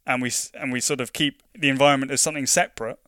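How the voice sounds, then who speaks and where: A man's voice: medium pitch, steady tone, rapid-fire, sharp tone, dry recording, studio quality. A young man from London with a London accent, talking casually in an interview, full of restarts and "you know" fillers. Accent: London English. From London, England. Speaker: conservation project worker.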